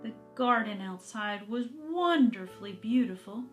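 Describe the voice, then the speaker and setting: A woman voicing a longing captive housecat who tells its own story in a children's book, dreamy, deliberate and dramatic. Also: medium pitch, expressive, faint music behind, natural voice. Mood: wistful.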